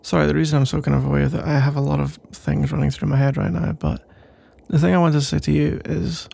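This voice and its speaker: A man playing a nervous, pensive roommate in an intimate ASMR roleplay, speaking in a soft, husky, halting ramble. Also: a little high, little pitch movement. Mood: hesitant, nervous. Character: nervous, pensive male roommate working up to a confession.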